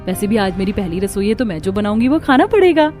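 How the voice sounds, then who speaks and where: A woman's voice: medium pitch, big pitch swings, fast, darkish tone, faint music behind, natural voice. A woman voicing a polite, earnest young newlywed daughter-in-law in a cartoon.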